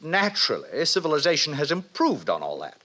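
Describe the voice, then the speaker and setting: An actor playing a pompous, lecherous middle-aged director lecturing theatrically in an old radio drama. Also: male voice, a little high, wildly swooping pitch, a little fast, bright, forceful tone, heavily acted. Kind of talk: 1950s radio drama acting. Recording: noisy old radio broadcast recording.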